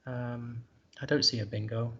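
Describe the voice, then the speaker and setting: A man's voice: medium pitch, little pitch movement, normal speed, bright, forceful tone, highs cut off, slight background noise. A man commentating a live board game, thinking aloud in a hesitant, musing way.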